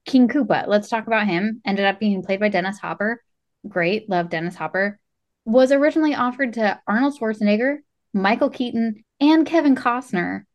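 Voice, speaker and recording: A woman's voice: medium pitch, moderate pace. A woman co-hosting a casual film discussion podcast, speaking in an enthusiastic, chatty way. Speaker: podcast co-host.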